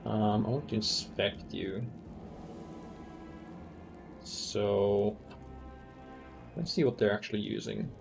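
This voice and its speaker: A man's voice: fairly low pitch, slow, gravelly, long pauses, over music. A man streaming a fantasy roleplaying game who thinks aloud in casual commentary, with many ums as he weighs loot and rules.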